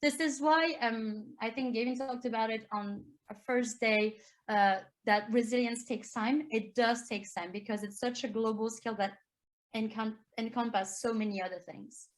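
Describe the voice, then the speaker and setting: A woman's voice: fairly even intonation, normal speed. A woman from France with a French accent, giving an earnest, explanatory conference talk as a primary school teacher. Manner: earnest, explanatory, rises in pitch to stress key points.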